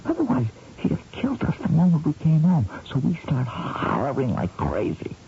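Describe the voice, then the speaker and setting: An actor playing a practical, steady husband in a 1970s radio drama, with a low, gravelly voice. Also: male voice, moderate pace. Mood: urgent, planning. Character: practical, steady husband outwitting a hired killer. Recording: muffled, noisy 1970s radio recording.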